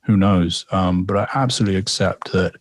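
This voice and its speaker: Deep-voiced man, rambling storyteller. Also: fairly quick, no room sound, studio quality. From England. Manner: rambling and reflective, with frequent repetitions and fillers. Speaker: guest storyteller and artist. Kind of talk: casual podcast conversation, telling a personal story.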